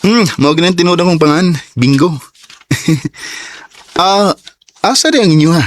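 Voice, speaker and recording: An actor with a Cebuano accent playing a lovestruck, eager young man in a radio drama, giggly and expressive. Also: sits high, normal speed. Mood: shy, eager.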